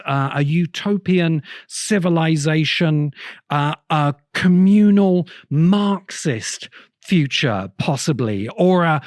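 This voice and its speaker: A man delivering a reflective essay-style lecture as a host, speaking in an erudite, deliberate way with pauses between phrases. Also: fairly high pitch, no room sound, studio quality. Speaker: host of a science fiction essay show.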